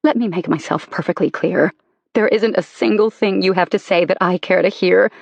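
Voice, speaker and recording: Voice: medium pitch, brisk, breathy edge, dry recording. An audiobook narrator voicing a betrayed wife who is walking out on her husband, speaking in a cold, cutting, emphatic way. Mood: angry. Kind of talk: audiobook character reading.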